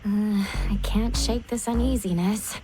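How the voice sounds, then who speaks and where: A woman's voice: medium pitch, steady tone, a little slow, lightly acted, rough recording. A woman voicing a casual, practical young female companion in a video game, with a questioning manner. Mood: uneasy, wondering. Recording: loud background music.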